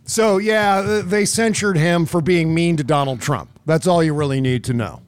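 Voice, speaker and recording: Male podcast host, higher register, dry sound. Opinionated, emphatic, casual political talk. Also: moderate pace, smooth, no echo.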